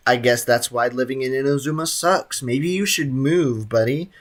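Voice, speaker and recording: A man's voice: mid-range voice, moderate pace. A young man, streaming a game and making sarcastic jokes with a lot of laughter. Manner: joking, sarcastic, laughs often. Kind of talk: gameplay livestream commentary.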